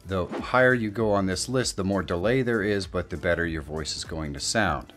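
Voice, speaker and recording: A man hosting a software tutorial, explaining things step by step as narration. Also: sits low, normal speed. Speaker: tutorial host. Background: background music.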